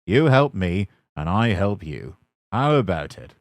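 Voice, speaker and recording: A grand, booming male engine from a storybook who chortles and pronounces, put on by a man reading. The voice moves widely in pitch. Close and dry, with no room sound. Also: big pitch swings, unhurried, dry recording, full character voice. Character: big proud grand male express engine. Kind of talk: storybook character voice.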